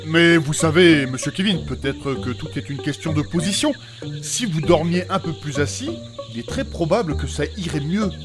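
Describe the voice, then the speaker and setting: A man's voice: a little high, moderate pace, over music, lightly acted. A man playing a courteous, conciliatory adventurer in a comic fantasy audio drama, speaking in formal, pedantic phrases. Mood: mild, reasoning. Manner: polite, pedantic, formal wording.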